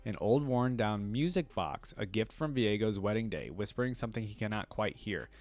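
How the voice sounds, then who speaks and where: Male reaction host, lowish voice, very dry recording, narrating fantasy lore with casual asides. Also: expressive, moderate pace, darkish tone, no echo. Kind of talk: reading game lore aloud with casual commentary.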